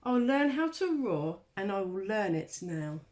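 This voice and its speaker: A woman voicing a tiny, meek but hopeful male mouse in a children's storybook, with a small squeaky voice and an earnest, polite manner. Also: very animated, unhurried, a little mellow. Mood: excited, determined. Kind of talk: children's storybook read aloud.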